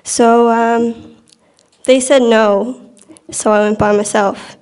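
Teenage girl, very even pitch. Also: slow pace, clear, resonant tone. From Bell Island, Newfoundland, Canada. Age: teenager, about 14 to 15. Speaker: storyteller. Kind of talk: casual personal ghost story.

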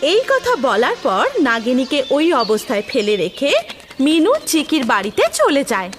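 A woman's voice: high voice, sing-song, a little slow. A woman narrating a scripted fairy tale for children as the storyteller. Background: music.

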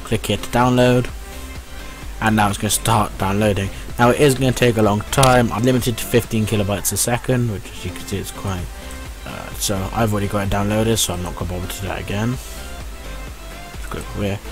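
A man's voice: lowish voice, fairly even intonation, brisk. A man giving a casual, reassuring step-by-step tech tutorial in an explanatory way. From United Kingdom. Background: music.

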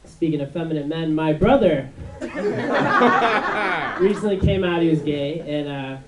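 A young man with a high, unmasculine voice doing a rambling stand-up set on a club stage, with many fillers and restarts. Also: lively intonation, slow, heavy background noise. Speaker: stand-up comedian. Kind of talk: stand-up routine. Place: dark club stage before a live audience. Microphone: handheld mic on a stand. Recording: echoey room, mic from far off.

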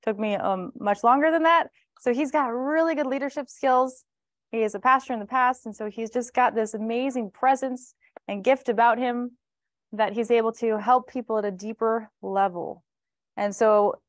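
A woman's voice: fairly high pitch, dry recording. A woman in her thirties hosting an event, introducing a colleague with casual, fond praise in front of an audience. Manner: warm praise, casual fillers. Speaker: event host. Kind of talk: introducing a speaker to a live audience. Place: event room with a stage.

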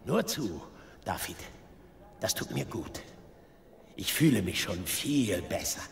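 A man's voice: lowish voice, very animated, takes it slowly, creaky, full character voice. An actor playing a troubled, volatile king in a theatrical, commanding manner in a Bible audio drama. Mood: soothed, pleased. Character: troubled, volatile king, swinging from anguish to booming festivity.

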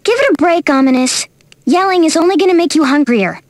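A woman's voice: very high voice, lively intonation, brisk, no room sound. A woman playing a level-headed young adventurer in a game, wry and teasing in her delivery. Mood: sarcastic.

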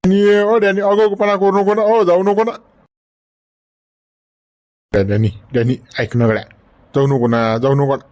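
A man's voice: high-pitched, unhurried, pauses often, darkish tone. An actor playing an elderly male friend who coaxes and scolds in a Varhadi Marathi accent. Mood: coaxing. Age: older. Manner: coaxing, chiding with repeated "गड्या".

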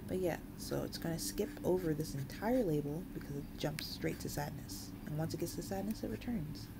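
Young woman, low voice with vocal fry, casual tutorial host thinking aloud, heavily noisy recording. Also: fairly quick. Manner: informal, thinking aloud while working. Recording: very noisy home screen recording.

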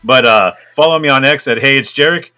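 Podcast host, man on a headset, laid-back and flat delivery, bright tone. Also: mid-range voice, brisk, soft background music. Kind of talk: casual interview hosting. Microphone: headset on video call.

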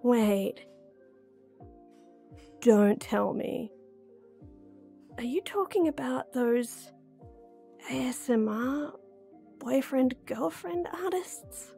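A woman's voice: high voice, animated, drawn-out words, creaky, pauses often, dark tone, soft background music, heavily acted. A young woman playing a playful, flustered friend with a secret crush in an intimate audio roleplay, teasing, giggly and hesitant. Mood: surprised, flustered. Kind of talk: intimate one-sided ASMR-style roleplay acting.

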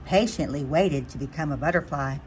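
A woman reading a children's picture book aloud in an expressive storytelling style. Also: low voice, brisk, a little hiss or hum, poor audio. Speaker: storybook reader.

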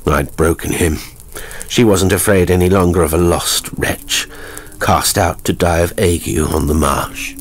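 A man's voice: sits low, fairly even intonation, measured pace. A man voicing a sullen, drunken, debauched nobleman with a coarse, sneering manner in a horror story.

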